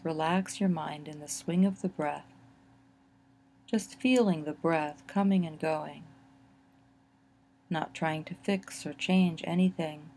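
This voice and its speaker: A woman narrating a guided relaxation exercise in a gentle, soothing manner, with unhurried phrasing and pauses. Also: low-pitched, slight background noise.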